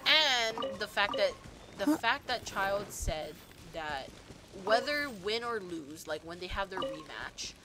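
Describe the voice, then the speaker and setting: A woman streamer rambling excitedly about a game story while she plays, full of restarts and fillers. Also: medium pitch, takes it slowly. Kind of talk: rambling gameplay commentary. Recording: loud game music behind the voice.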